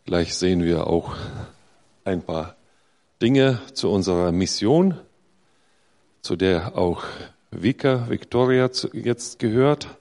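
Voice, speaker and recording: A middle-aged man giving a church mission presentation, talking in a halting way with many fillers and restarts. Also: sits low, animated, a little mellow.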